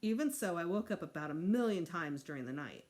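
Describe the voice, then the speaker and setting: A woman teacher reading a children's book aloud in an expressive narrating style. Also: fairly low pitch, a little fast.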